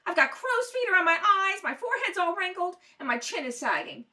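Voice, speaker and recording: A woman's voice: very high voice, big pitch swings, a little fast, pushed and bright, exaggerated voice. A woman playing a nagging, aggrieved, sleepless wife in an old-time radio comedy, complaining dramatically.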